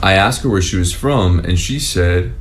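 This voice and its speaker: Brief: Oklahoma man, laid-back storyteller, fairly low voice. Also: moderate pace, slight background noise. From Oklahoma, USA. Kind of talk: storytelling social video clip.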